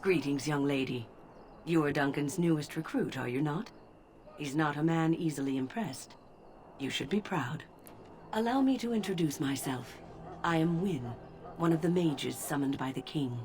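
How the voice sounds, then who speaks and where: A low-voiced, wise old female mage, voiced by a woman from a fantasy video game. She speaks in a measured, formal, gently lecturing way. A faint hiss sits under the voice. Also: lightly acted. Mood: calm. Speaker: game character.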